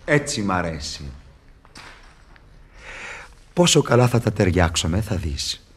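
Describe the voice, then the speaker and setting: An actor playing a sly, mocking, falsely humble devil in radio theatre, with husky, ironic, wheedling verse delivery. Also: male voice, sits low, sing-song, background noise. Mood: coaxing, gleeful.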